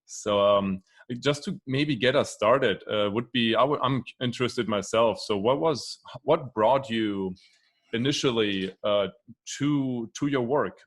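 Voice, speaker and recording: A man's voice: mid-range voice, measured pace. A man hosting a video podcast interview, speaking hesitantly with many fillers and repeated words. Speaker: podcast host.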